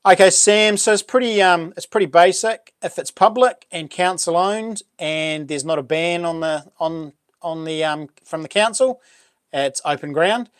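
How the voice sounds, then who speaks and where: A middle-aged New Zealand man with a big, boomy voice talks loosely and at length, full of ums and restarts. His pitch sits a little high for a man. The sound is close and dry, with no room echo. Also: normal speed. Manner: relaxed, rambling, many ums and restarts. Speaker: livestream host. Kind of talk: casual live chat reading comments.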